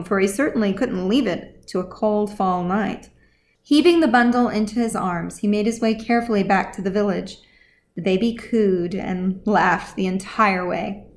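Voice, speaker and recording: A woman's voice: mid-range voice, normal speed. A woman reading scripted fantasy fiction as audiobook narration, as the author of the story.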